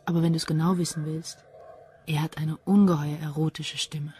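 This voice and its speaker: An actress playing a cool, quick-witted policewoman with a dry, sardonic manner in a radio crime drama. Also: low-pitched, level tone, background noise, natural voice. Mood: wry, brisk.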